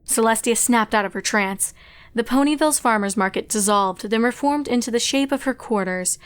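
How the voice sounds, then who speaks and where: High-voiced woman narrating a story. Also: moderate pace, smooth. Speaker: fan fiction reader and narrator.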